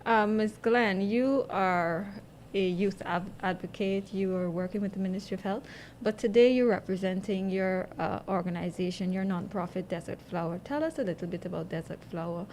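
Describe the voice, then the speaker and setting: A woman's voice: medium pitch, moderate pace, darkish tone. A woman hosting and interviewing guests on a radio health show. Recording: faint background noise.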